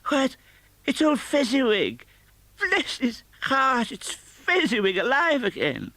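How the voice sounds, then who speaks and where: A man voicing an excitable old male miser who stammers and quavers with emotion. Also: high-pitched, slow pace, vocal fry, husky, no room sound, pitched above the performer's own voice, heavily acted. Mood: excited.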